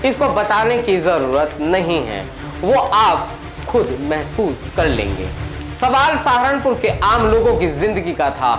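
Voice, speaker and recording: A man presenting a scripted news report in a dramatic, emphatic, rhetorical style. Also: sits high. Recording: echoey voice over loud background music.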